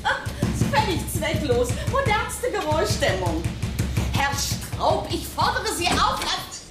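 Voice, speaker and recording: An actress playing a prim, officious, scolding secretary in a German radio play. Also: female voice, extremely high pitch, very animated, takes it slowly, roomy sound, far from the mic, over loud music, heavily acted. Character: indignant, prim female law-office secretary.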